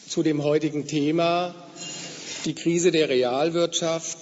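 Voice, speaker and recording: Slightly hoarse man lecturing. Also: a little high, a little mellow, slight background noise. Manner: measured, explanatory, with occasional fillers. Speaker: guest lecturer. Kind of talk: academic lecture. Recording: dull, reverberant lecture hall recording.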